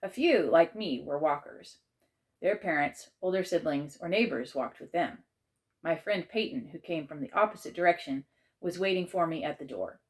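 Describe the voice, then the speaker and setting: Woman, low pitch, children's story narration, measured, expressive read-aloud. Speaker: author reading aloud.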